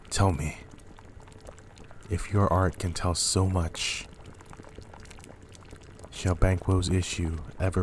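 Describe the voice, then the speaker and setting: A man's voice: sits low, a little mellow, a little hiss or hum. A man playing a tormented, imperious and ruthless king, declaiming weightily in a dramatic Shakespeare reading. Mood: brooding.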